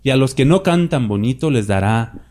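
A man's voice: mid-range voice, lively intonation, moderate pace, darkish tone. A man preaching a sermon, asking the congregation questions and answering them himself, often calling them his brothers. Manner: repeatedly addresses listeners as "my brothers".

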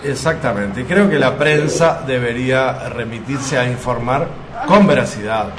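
Man, mock-serious comedy radio host, mid-pitched, echoey studio. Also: unhurried, background noise. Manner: deadpan, mock-solemn.

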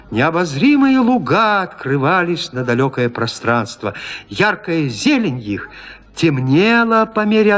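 A man's voice: high-pitched, a bit bright. A man narrating a literary story as the scripted narrator of an audio drama.

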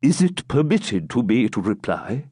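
A man's voice: medium pitch, big pitch swings, a little slow, mellow, breathy edge, no room sound, clean studio sound. A man voicing a hypocritical preceptor of a religious house, answering in a deferential, falsely humble tone.

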